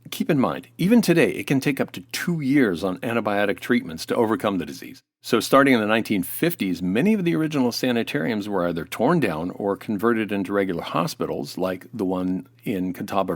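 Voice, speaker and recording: A man's voice: lowish voice, no echo, studio quality. An American man as an interview guest, giving an affable, informative explanation in a semi-scripted style.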